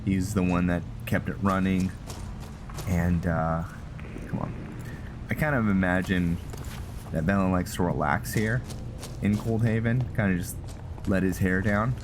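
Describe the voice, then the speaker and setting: A man hosting a gaming channel, giving casual roleplay commentary in a halting way, thinking aloud as he plays. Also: low-pitched, fairly even intonation, background noise.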